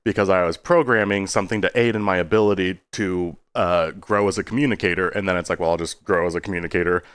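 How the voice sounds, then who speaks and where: A man's voice: sits low, steady tone, fast talker, fairly bright tone, clean tone, no echo. A man giving a casual, rambling video update in a self-deprecating way, stopping and starting and slipping into exaggerated tones.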